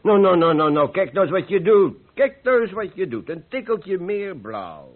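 An actor playing a gruff, modest, big-hearted master painter in a Dutch radio play, blunt and impatient, repeating himself. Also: male voice, sits high, very animated, normal speed. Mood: brisk, scolding. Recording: muffled old radio play recording.

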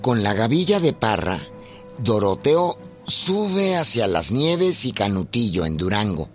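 An actor narrating a radio documentary drama about a revolutionary, with a Mexican accent, in a measured way. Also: male voice, on the low side, big pitch swings, over music. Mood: measured narration.

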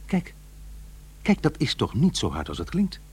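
A man's voice: mid-range voice, wildly swooping pitch, moderate pace, breathy edge, heavily acted. An actor playing an aggrieved middle-aged divorced father in a radio play, muttering and questioning himself. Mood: pondering. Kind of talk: naturalistic radio play acting.

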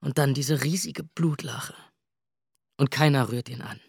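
A man's voice: medium pitch, steady tone, unhurried, vocal fry, slightly rough, no echo, clean studio sound, heavily acted. An actor playing a restless young man narrating a radio drama with sardonic asides. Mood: wry.

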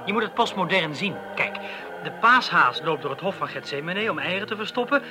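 An actor playing a chatty, teasing police colleague in a Dutch radio drama, glib in manner, with a Netherlands accent. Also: male voice, high-pitched, lively intonation, fairly quick, over music.

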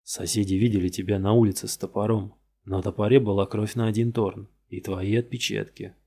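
A man's voice: on the low side, steady tone, fairly quick, dark tone, husky. A man doing a tired, blunt police detective. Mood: weary. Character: weary, hard-pressing male police detective.

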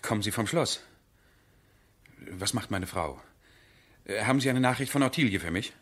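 An actor in a 1970s German radio drama playing a stubborn, lovesick aristocratic husband with formal, deliberate phrasing. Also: male voice, lowish voice, lively intonation, fairly bright tone. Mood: anxious, eager. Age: middle-aged.

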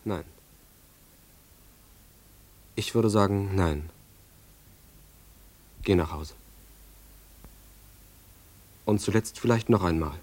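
A man's voice: sits low, measured pace, a little mellow. An actor playing a terse, firm grown man from an island in a German radio play. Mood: firm, refusing.

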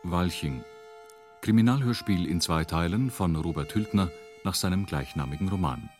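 Low-voiced male radio drama announcer. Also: normal speed. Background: string music.

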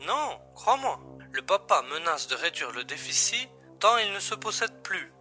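An urgent, wry spy boss in a sci-fi radio play acted by a man. He briefs in a matter-of-fact way, with an intensely bright, tense voice on the higher side and faint music behind. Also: big pitch swings, normal speed, exaggerated voice. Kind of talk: French science-fiction audio drama acting.

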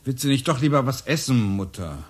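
A man's voice: mid-range voice, animated, brisk, lightly acted. An actor playing an awkward, hesitant grown son visiting his mother in a radio play.

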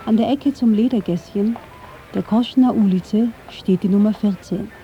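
A woman reading scripted narration for a radio feature in a measured, literary way. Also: medium pitch, dull audio, soft background music. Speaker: documentary narrator.

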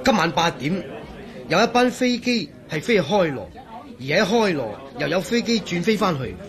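An animated, higher-voiced male engineer. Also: normal speed, crowd noise. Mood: cooperative. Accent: Hong Kong Cantonese. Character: earnest, regretful male engineer in charge of waterworks. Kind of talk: radio drama acting.

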